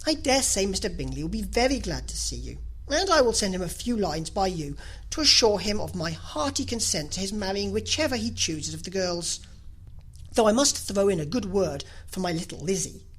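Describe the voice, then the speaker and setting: Woman reading as a dry, teasing middle-aged husband, husky. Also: mid-range voice, very animated, rough recording. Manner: wry, measured, mock-polite.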